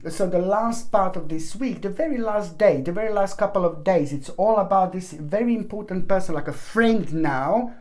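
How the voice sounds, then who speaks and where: A woman's voice: mid-range voice, animated, normal speed, few pauses, a little mellow. A woman giving a weekly tarot reading, talking earnestly and repeating herself, with fond endearments for her viewers. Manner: many fillers and restarts, pleading emphasis. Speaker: tarot reader.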